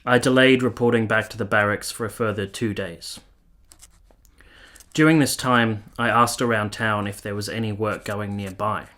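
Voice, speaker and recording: Low-voiced, solemn soldier, played by a man. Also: fairly even intonation, a bit bright, close to an everyday voice. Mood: solemn, resolute. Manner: formal, literary, measured, solemn delivery. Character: earnest, tormented male soldier in 1870s Italy, narrating his past. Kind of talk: dramatic radio play narration and dialogue.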